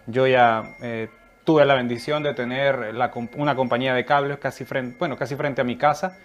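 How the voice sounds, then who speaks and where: A man's voice: mid-range voice, steady tone, moderate pace, lo-fi sound. A Salvadoran man talking as a guest in a casual conversation, earnest and thoughtful, with many fillers. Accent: Salvadoran Spanish.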